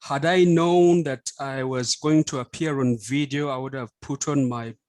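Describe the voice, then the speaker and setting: A Ugandan man who teaches French and translation introduces himself on a video call, with courteous, measured delivery and light humor. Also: medium pitch, steady tone, a little mellow, dry recording. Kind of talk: self-introduction at an online conference.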